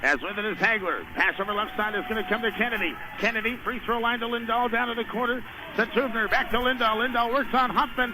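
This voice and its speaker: A male play-by-play announcer with a strikingly high voice calls live basketball for radio. He follows the action in short, urgent running phrases. The broadcast is plainly noisy behind him.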